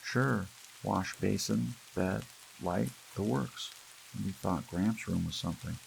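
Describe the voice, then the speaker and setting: A folksy, contented woman full of dreamy wonder, played by a male audiobook reader. The lines are pitched up from a deep voice, with rain and hiss underneath. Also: slow pace, vocal fry, full character voice, poor audio. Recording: steady rain and hiss behind.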